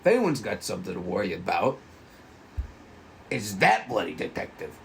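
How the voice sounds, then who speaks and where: A man's voice: fairly low pitch, huge pitch swings, moderate pace, vocal fry, exaggerated voice. A man playing a lazy, gossiping police officer in an amateur script read, with faint noise. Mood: wary, scornful. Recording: noisy room recording.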